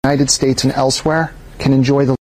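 A person giving a steady interview statement in a news clip. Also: mid-range voice, a little fast.

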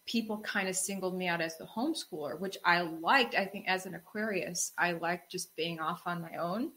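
A young woman co-hosting a casual podcast chat, telling relaxed stories and laughing often. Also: lowish voice, normal speed. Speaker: co-host.

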